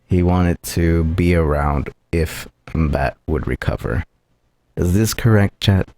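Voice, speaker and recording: A man's voice: sits low, takes it slowly, darkish tone. A man streaming a video game live, thinking aloud casually and a bit hesitantly to his viewers.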